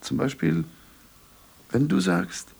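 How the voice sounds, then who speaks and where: A man playing a studio colleague who keeps unruly companions in order, gravelly, in conversational radio drama style. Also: on the high side, sing-song, slow, a little mellow, exaggerated voice. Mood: matter-of-fact.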